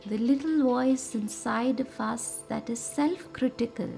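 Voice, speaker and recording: A woman leads a guided meditation in short, soothing, measured phrases with reverent pauses. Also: a little high, creaky, dark tone. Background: music.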